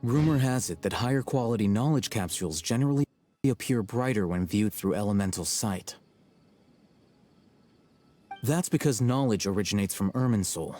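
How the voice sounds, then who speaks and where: An actor playing an aloof, self-assured young scholar in a video game, speaking in a measured, blunt, dry way. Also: male voice, fairly low pitch, a little mellow, natural voice. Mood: detached, explanatory. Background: music.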